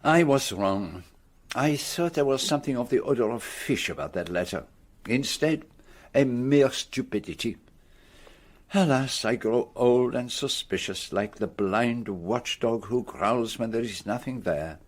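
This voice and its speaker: An actor playing an ageing, vain Belgian gentleman detective with a French-speaking Belgian accent, precise and theatrical in a radio play. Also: mid-range voice, lively intonation, measured pace. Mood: grave. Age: older. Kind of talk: radio drama acting.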